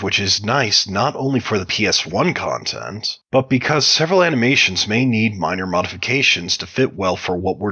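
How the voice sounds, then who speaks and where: A man giving measured, articulate, explanatory answers as an interview guest, sounding prepared and read out. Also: on the low side, pushed and bright, slightly rough. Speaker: interview guest, game modder.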